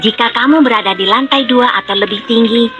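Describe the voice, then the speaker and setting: Woman as high-voiced young panda tour guide. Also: a little fast, noisy background, close to an everyday voice. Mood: instructive. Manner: clear, instructive, encouraging. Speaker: tour guide narrator in children's game. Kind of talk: scripted educational narration for children. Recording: music behind the voice.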